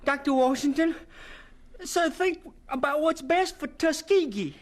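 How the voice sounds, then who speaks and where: A woman's voice: high voice, unhurried. A woman playing a devoted, plain-spoken young student pleading earnestly in an audio drama.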